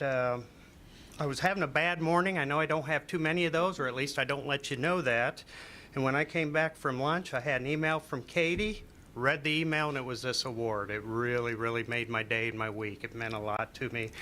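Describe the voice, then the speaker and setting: A man's voice: mid-range voice, pushed and bright, a little hiss or hum. An older man, a school district treasurer near the end of his career, giving a casual and heartfelt thank-you speech with some joking at a board meeting.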